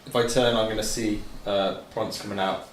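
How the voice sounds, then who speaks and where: A man's voice: fairly low pitch, fairly even intonation, moderate pace. A man chatting as a player in a tabletop game, informal and a little tentative. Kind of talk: casual chat. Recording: roomy echo, background noise.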